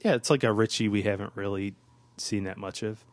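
A man's voice: fairly low pitch, a little fast. A man co-hosting a podcast in casual chat, joking and echoing the others' words. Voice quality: gravelly. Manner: jokey, echoes others' words. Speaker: podcast co-host.

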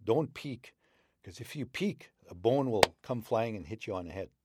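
A man's voice: animated, moderate pace, very noisy, natural voice. A man voicing a friendly, sly old male wolf leader in a spoken folk tale, coaxing and persuasive. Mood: instructing. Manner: coaxing, raised pitch.

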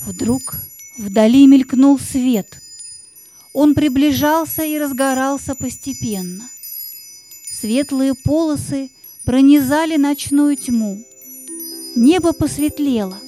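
A woman narrating a children's story in an expressive, suspenseful, dramatic scripted style. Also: high voice, slow pace, soft background music.

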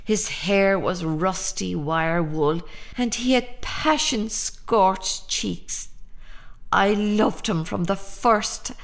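Irish mother, slow measured delivery, lyrical, haunted, fiercely protective, intense, audio drama. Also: mid-range voice, creaky. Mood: wistful. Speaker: actor. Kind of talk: radio drama monologue and dialogue.

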